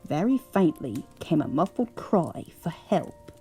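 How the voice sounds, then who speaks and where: A woman's voice: low-pitched, sing-song, measured pace, dark tone, soft background music, heavily acted. A woman voicing a kindly elderly English grandmother who reads a bedtime story aloud and fusses over her grandchild. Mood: cozy. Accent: put-on English. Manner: doting, chuckling, fussing over a grandchild.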